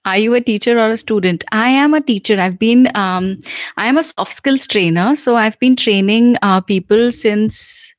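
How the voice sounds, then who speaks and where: A middle-aged woman from central India with an Indian accent, a soft skills trainer hosting a live question and answer session, chatting and answering viewer comments with many fillers and small laughs. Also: a little high, moderate pace, dry recording. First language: Punjabi. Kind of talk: live stream Q&A.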